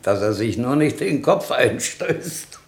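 An actor playing a dry, sardonic, matter-of-fact death-row prison guard in a German radio play. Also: male voice, medium pitch, expressive, slow. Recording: echoing, roomy cell acoustic.

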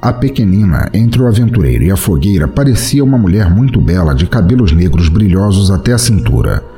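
A man's voice: low-pitched, big pitch swings, fairly quick, dark tone, faint music behind. A man with a Brazilian accent narrating a scripted fantasy story as the storyteller of an audio drama.

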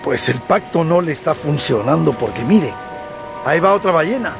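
An actor playing a wary first mate who makes dry, matter-of-fact remarks. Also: male voice, fairly high pitch, lively intonation, moderate pace, gravelly, loud music behind the voice. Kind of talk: radio drama acting.

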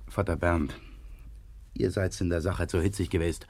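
An actor playing a pious, gentle village man in a radio play, speaking haltingly and earnestly. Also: sits low, steady tone, no room sound. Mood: mild, reproachful. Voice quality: husky, creaky. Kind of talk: naturalistic German radio play acting.

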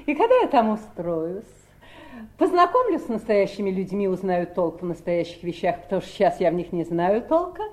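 An actress in a 1970s Soviet radio play playing an ambitious, imperious sister with a mocking, commanding manner. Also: female voice, medium pitch, very animated, brisk, a little mellow, close to an everyday voice. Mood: dreamy, teasing. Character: ambitious, imperious sister hungry for money and city life.

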